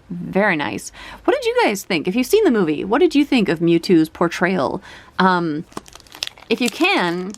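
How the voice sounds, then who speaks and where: A woman's voice: medium pitch, slight background noise. A woman vlogger chatting casually while opening trading cards, musing aloud and asking her viewers questions. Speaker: card collecting vlogger. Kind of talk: casual card opening chat.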